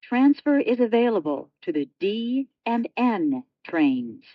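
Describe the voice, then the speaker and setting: A woman's voice: on the high side, very animated, takes it slowly, no echo. A woman reading scripted automated train announcements in clipped, segmented phrases, as a subway announcer. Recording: dull lo-fi transit announcement audio.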